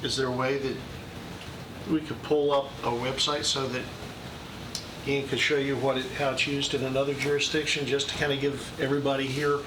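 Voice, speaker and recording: County official, plain and halting, roomy distant sound with noise behind. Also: male voice, medium pitch. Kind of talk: committee meeting discussion. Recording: roomy hall, distant mic, steady noise.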